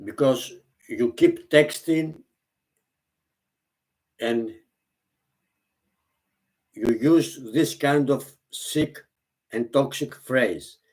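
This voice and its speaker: A man whose first language is Greek, speaking English slowly and earnestly to the camera. He often halts, repeats himself and restarts his phrases. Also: mid-range voice, a little mellow. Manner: halting, repeats and restarts phrases, non-native grammar. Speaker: vlogger. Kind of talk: slow, earnest monologue to camera.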